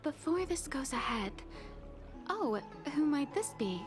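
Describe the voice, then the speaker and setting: A woman's voice: high-pitched, very animated, unhurried. A woman playing a deferential, worried maid in a video game, speaking formal, polite and pleading dialogue. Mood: polite, grave concern. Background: music.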